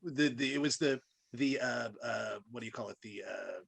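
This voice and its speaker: Gravelly, husky man in casual chat. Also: mid-range voice, normal speed, fairly bright tone. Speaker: podcast panelist.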